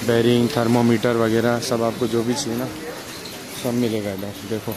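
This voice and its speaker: A man's voice: mid-range voice, completely flat delivery, moderate pace, a crowd in the background, poor audio. A man with a Mumbai accent giving a casual, informal walking-tour commentary as a vlogger, often repeating himself as he points things out. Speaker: vlogger guiding a market tour. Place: outdoors on a busy market street. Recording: loud street traffic and ambience. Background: traffic and urban street noise.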